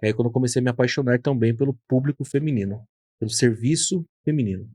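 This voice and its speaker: A man's voice: mid-range voice, fast talker, dark tone, dry recording, studio quality. A man in his thirties, a hairdresser and podcast host, telling his story in an earnest, reflective way. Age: 30s. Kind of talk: casual conversation.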